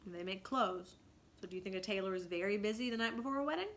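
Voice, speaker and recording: A woman's voice: medium pitch, fast, fairly bright tone, a little hiss or hum. A woman reading a children's story aloud as a teacher, narrating expressively and asking explanatory questions.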